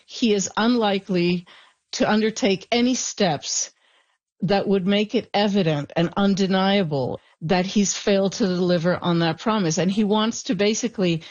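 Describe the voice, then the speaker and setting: A woman's voice: medium pitch, normal speed, darkish tone. A woman journalist gives fluent, explanatory analysis in an interview. Her voice sits in a mid range. It comes through a dull, lo-fi remote line. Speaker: journalist guest.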